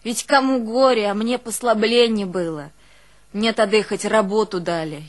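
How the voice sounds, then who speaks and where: A woman's voice: mid-range voice, lightly acted. An actress playing a long-suffering village mother, telling her hardships in a plaintive, colloquial dramatic monologue for an audio play. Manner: plaintive, colloquial, unhurried, swinging between hushed and keening. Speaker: stage actress in a one-woman audio play.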